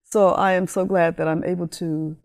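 A woman in her sixties from Honduras, with Spanish as her first language, telling her life story as an interview guest with animated enthusiasm. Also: lowish voice, normal speed. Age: 60s. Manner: warm, animated storytelling with laughs. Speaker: guest, ministry leader. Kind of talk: interview talk.

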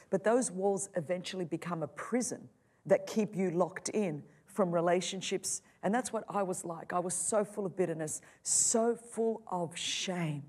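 A middle-aged woman preaching and telling her own story, passionate, moving between loud, soaring lines and quiet, hushed ones. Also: medium pitch. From Australia. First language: Greek. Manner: impassioned, swings from loud to soft. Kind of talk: sermon testimony.

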